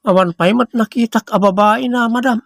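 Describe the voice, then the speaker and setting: A man's voice: very high-pitched, moderate pace, gravelly, fairly bright tone, dry recording, clean studio sound, full character voice. A man with a Filipino accent playing a nosy, deferential family driver in a radio comedy drama. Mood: deferential, evasive.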